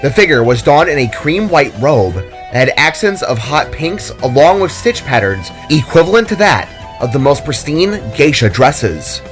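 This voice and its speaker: A man narrates a fantasy story in a descriptive, measured way. His voice sits at an average pitch for a man. Soft music plays beneath the dramatic storybook reading.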